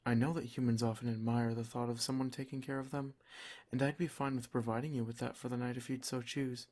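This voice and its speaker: A man playing a doting, protective vampire lord in an ASMR roleplay, soft-spoken, formal and reassuring. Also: mid-range voice, little pitch movement, quick pace, close to an everyday voice. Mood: tender, reassuring.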